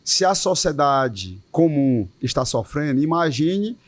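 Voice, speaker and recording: Um homem com sotaque cearense, presidente de uma associação de policiais, dá uma entrevista com tom sério e enfático e repete palavras às vezes. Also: male voice, on the high side, sing-song, a little slow, no room sound. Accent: Cearense Portuguese. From Ceará, Brazil. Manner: earnest, emphatic, with repeated words. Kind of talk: interview answers.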